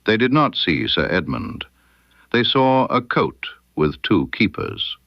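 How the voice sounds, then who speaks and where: A man voicing a stern, incisive detective in an audiobook mystery, precise and emphatic, with dramatic pauses. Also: on the low side, sing-song, no room sound, muffled recording, heavily acted. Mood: accusing, precise. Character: stern, incisive male detective laying out his case.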